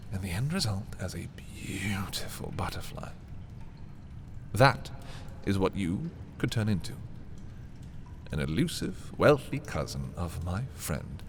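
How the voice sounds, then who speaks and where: A man playing an arrogant, possessive young prince in a dark fantasy audio roleplay, haughty and theatrical in his delivery. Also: on the low side, gravelly, a little hiss or hum, full character voice. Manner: haughty, dramatic, swinging from hushed to exclaiming. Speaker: voice performer. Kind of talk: dramatic roleplay audio, ASMR fantasy monologue.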